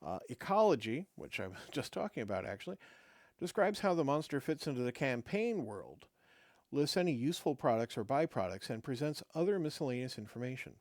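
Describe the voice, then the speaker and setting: A man's voice: mid-range voice, very animated, dry recording. Man losing his voice, dry close sound. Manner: halting, many fillers and restarts between read passages. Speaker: host reading a game rulebook. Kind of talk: reading aloud with casual asides.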